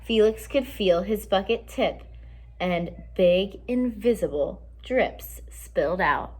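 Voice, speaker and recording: A woman reads a picture book aloud for young children in an expressive, teacherly way. Her voice sits at a medium pitch, and she adds simple explanations as she goes. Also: slow, breathy edge.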